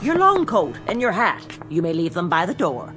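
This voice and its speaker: An actress playing a brisk, fussy, proper boarding-house landlady of an earlier century in a radio drama, formal and inquisitive. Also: fairly low pitch, wildly swooping pitch, over music.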